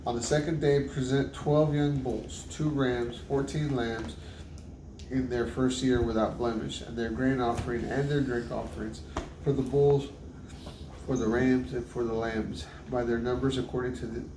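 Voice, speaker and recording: A man reads scripture aloud in measured, list-like phrases, with an occasional stumble. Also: medium pitch. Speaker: Bible reader. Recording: roomy, distant mic with loud background noise.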